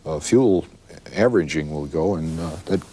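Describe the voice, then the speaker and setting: An American man with a deep, very low voice talks as a designer in a panel discussion. He explains things in an easygoing way, with a halting flow. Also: warm tone, slightly rough. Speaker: vehicle designer. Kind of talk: panel discussion about product development.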